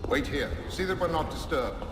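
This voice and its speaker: Male actor as imperious gentleman plotter: echoing, fairly high-pitched, haughty game delivery with music behind. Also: animated, normal speed, lightly acted. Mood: irritated. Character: haughty, irritated gentleman conspirator. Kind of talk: dramatic game voice acting. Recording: echoey reverberant space, music behind.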